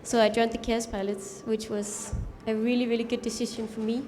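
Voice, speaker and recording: A woman's voice: on the high side, flat delivery, a little fast, a little mellow, a little hiss or hum. A young woman from Denmark introducing herself to an audience in a plain, earnest way. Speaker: student presenter. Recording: reverberant hall, faint ambience.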